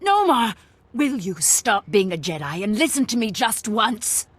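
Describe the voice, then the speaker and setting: An actress voicing a wary, stubborn, lovelorn middle-aged woman in a dramatic video game scene, with a husky voice. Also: medium pitch, very animated, unhurried, fairly bright tone, dry recording. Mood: pleading, heartfelt.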